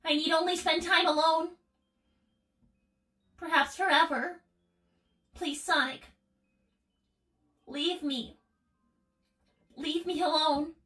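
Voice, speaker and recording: A woman's voice: very high-pitched, pushed and bright, full character voice. A woman voicing a young robot girl in a livestream roleplay, solemn, withdrawn and formal in her speech. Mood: distressed, withdrawn. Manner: formal, precise, subdued. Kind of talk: improvised in-character livestream roleplay.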